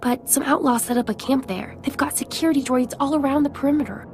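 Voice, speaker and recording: An actress playing an earnest, conciliatory settler in a video game, polite and pleading. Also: female voice, high-pitched, quick pace, a little mellow, soft background music.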